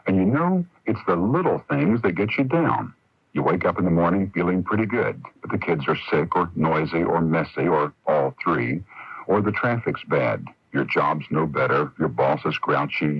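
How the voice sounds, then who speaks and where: A man's voice: deep voice, slightly rough, no echo. A man speaking plainly and directly in a scripted inspirational radio message. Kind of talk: scripted counselling monologue. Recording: dull lo-fi 1980s AM radio recording.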